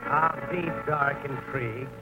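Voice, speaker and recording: Vintage radio narrator, man over loud music. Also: medium pitch, a little slow. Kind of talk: 1940s radio scripted narration. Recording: muffled old radio, loud music behind.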